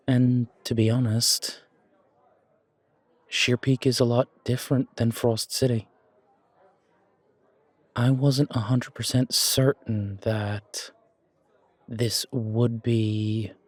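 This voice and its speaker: A man's voice: mid-range voice, level tone, slow, pauses often, no room sound. A man playing a self-doubting chef in an audio roleplay, with a casual, hesitant, slangy way of talking. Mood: nervous. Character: self-doubting male chef, casual dreamer opening his restaurant. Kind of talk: fan audio roleplay acting.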